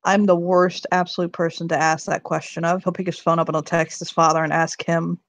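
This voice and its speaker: A woman narrating her character in a tabletop roleplay, rambling and profane, and quick to laugh. Her voice sits on the lower side. The sound is close and dry. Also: little pitch movement, dry recording.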